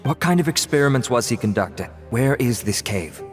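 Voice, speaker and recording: An actor playing a dutiful, courteous official investigator in a video game, speaking formally. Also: male voice, mid-range voice, animated, brisk, natural voice. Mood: calm, courteous. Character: polite, dutiful male investigator, measured and official. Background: game music.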